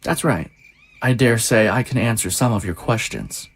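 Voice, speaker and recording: A man's voice: lowish voice, breathy edge. Man playing a formal, mysterious benefactor. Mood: composed, cryptic. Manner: formal, measured, cryptic. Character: mysterious, composed anonymous benefactor on the phone. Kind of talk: audio drama acting.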